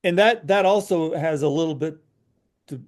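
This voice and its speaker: Frank, slightly high-voiced man. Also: normal speed. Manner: frank, hesitant, many "you know" fillers. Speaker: podcast co-host. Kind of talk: casual chat, opinionated commentary.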